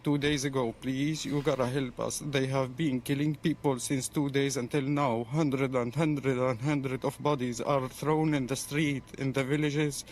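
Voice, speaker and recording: A man's voice: mid-range voice, steady tone, unhurried, hardly any pauses. A man from Syria giving a pleading, repetitive personal testimony in an amateur video appeal. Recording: noisy amateur video clip.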